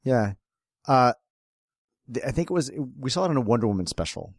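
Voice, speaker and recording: A man with a mid-pitched voice talks as a podcast co-host. His delivery is conversational and wanders like someone telling anecdotes. The recording is dry and free of room echo. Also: animated, brisk. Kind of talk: casual conversational chat about a film.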